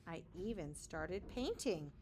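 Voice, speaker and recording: A woman's voice: lowish voice, big pitch swings, slow, a little mellow, a little hiss or hum. A woman reading a children's picture book aloud with dramatic emphasis and short explanatory asides, like a kindergarten teacher.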